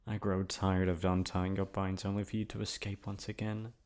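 A man's voice: low-pitched, flat delivery, moderate pace, a little mellow, lightly acted. A man playing a possessive, lonely young prince in an ASMR roleplay, speaking in formal, stilted phrases to the lover he holds captive. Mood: cold, commanding. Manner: formal, stilted diction, swinging from tender to commanding.

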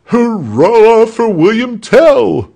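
A man voicing an excitable crowd of rebellious townsfolk in a storybook, in an exclamatory, loud manner. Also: very high voice, extremely slow, vocal fry, voice pushed up, exaggerated voice. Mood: roused, shrieking.

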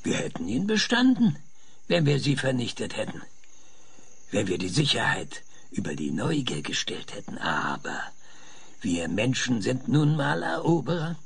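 An actor playing an older, insistent professor with a raspy voice in a German radio drama, explaining things emphatically and dramatically. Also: male voice, mid-range voice, huge pitch swings, unhurried, exaggerated voice. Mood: musing, insistent. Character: frail, excitable old male professor, insistent and visionary. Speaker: professor being interviewed.

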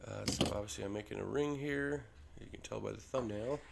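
Low gravelly man with a head cold. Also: brisk, noisy background. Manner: relaxed, with fillers and restarts. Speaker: maker showing a ring build. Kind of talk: casual workshop tutorial voice-over. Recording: voice-over with clear music behind.

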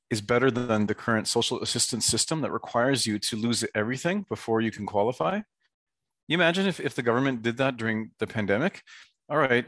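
A man speaking as a guest advocate on a video call, informal and earnest, with halting phrasing. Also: medium pitch, fairly bright tone, no room sound.

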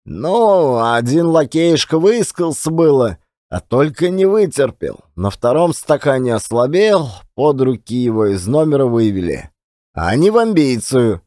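A man's voice: medium pitch, sing-song, dark tone, no room sound, studio quality. A man voicing an old former billiard marker who tells his memories in folksy, colloquial turns of phrase for an audiobook drama. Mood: animated recounting. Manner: colloquial, unhurried storytelling with rustic turns of phrase.

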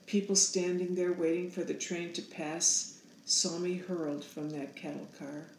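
A woman in her sixties narrating a storybook aloud in a measured, solemn manner. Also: fairly low pitch, flat delivery, a little mellow, reverberant, slight background noise, low-quality recording. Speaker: author reading own picture book aloud.

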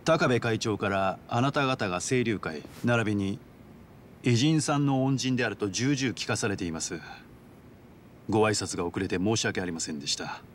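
A man's voice: on the low side, slight background noise, natural voice. A man voicing a polite, calculating yakuza underboss in a video game, speaking formally and with cool composure. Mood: coolly polite. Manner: formal, courteous, measured business-like speech. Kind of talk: dramatic video game voice acting.

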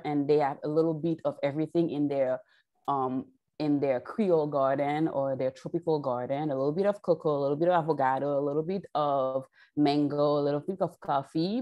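A woman in her forties from Haiti, a guest on a video call, explaining her work in an earnest storytelling way. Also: low voice. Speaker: guest chocolate maker.

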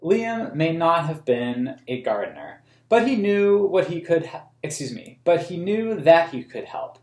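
An American man in his thirties reading a picture book aloud to children in a gentle, explanatory way. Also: a little high, big pitch swings, a little slow. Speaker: museum story time host. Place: small plain room indoors. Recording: roomy indoor echo.

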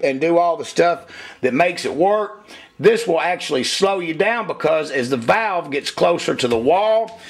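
A man's voice: a little high, creaky. A man talking casually as he demonstrates engine head porting at a workshop bench, in a plainspoken, folksy way. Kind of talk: hands-on shop demonstration.

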